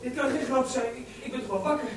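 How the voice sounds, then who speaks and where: High-voiced, agitated composer Mozart. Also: male voice, fast talker, faint music behind. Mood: bewildered, alarmed. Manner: agitated, stammering, exclaiming. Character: Mozart, excitable aggrieved composer short of money. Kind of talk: radio play acting. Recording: noisy radio play recording.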